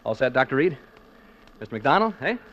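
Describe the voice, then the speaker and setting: A showy radio ghost hunter. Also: male voice, medium pitch, big pitch swings, a little fast, fairly bright tone. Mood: breezy. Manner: breezy announcer patter. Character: chatty male radio ghost hunter, showman. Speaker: radio host. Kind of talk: 1940s radio acting. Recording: muffled old 1940s radio recording.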